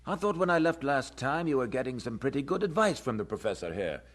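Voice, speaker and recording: An actor playing a gruff, scolding older man who is exasperated with youngsters, in a radio drama. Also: mid-range voice, sing-song, brisk. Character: grumbling, scolding older man, dismissive of youth.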